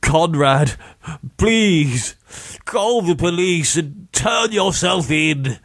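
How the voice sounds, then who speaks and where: A beaten and frightened man in an audiobook thriller, played by a male narrator. He pleads piteously in a strained, snuffling voice pushed up high and dragged out slowly. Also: animated, fairly bright tone, no echo, clean studio sound, full character voice. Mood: pleading.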